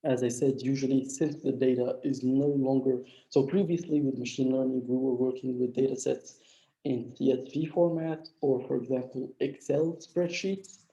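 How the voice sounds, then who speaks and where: Gravelly lecturer with a mild flu. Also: male voice, medium pitch, steady tone, moderate pace, mellow. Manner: explanatory, frequent "uh" fillers. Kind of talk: live online coding lecture. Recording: dull lo-fi echoey room.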